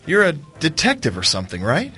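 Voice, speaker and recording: A man's voice: a little high, wildly swooping pitch, brisk, pushed and bright, soft background music, exaggerated voice. A man playing a flippant, teasing business partner in a radio drama, speaking in an arch, mocking, flowery manner. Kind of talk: theatrical radio drama acting.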